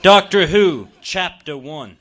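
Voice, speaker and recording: A man's voice: high-pitched, slow pace, a bit bright. A man performing a comedic dramatic reading as a grandiose, theatrical hero and announcer. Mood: announcing. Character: grandiose, romantic sci-fi hero with hammy delivery.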